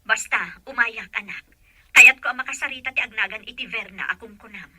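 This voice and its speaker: Determined Filipino mother played by a woman, very bright forceful voice, highish, close dry sound. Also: a little fast, no room sound. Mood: caring, determined. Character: caring, determined middle-aged mother. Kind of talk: Ilocano audio drama acting.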